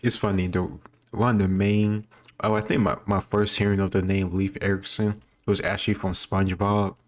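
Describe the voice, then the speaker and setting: A man's voice: deep voice, little pitch movement, normal speed. A man giving casual commentary on a history quiz, thinking aloud in an informal, rambling way. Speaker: host reacting to a history quiz video.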